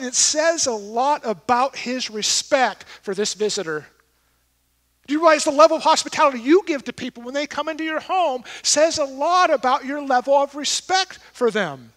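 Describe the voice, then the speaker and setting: A man's voice: extremely high pitch, a bit bright. A middle-aged man preaching a sermon from a church stage, talking conversationally and asking his listeners questions. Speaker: pastor. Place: large church stage with an audience.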